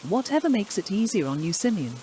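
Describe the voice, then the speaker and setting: A woman's voice: mid-range voice, very animated, quick pace, warm tone, lightly acted. A woman acts a composed, businesslike doctor in a horror drama. Her intonation moves a lot, and rain noise sits behind the voice. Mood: composed, cool.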